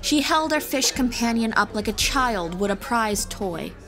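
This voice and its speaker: A woman's voice: on the high side, lively intonation, a little slow. Woman narrating fantasy over music. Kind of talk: scripted fantasy audiobook narration. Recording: music playing behind.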